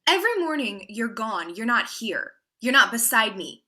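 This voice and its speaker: A woman's voice: fairly high pitch, very animated, moderate pace, pushed and bright, full character voice. An actress playing a worried, devoted girlfriend in a staged play reading, coaxing and pressing her partner with questions. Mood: distressed.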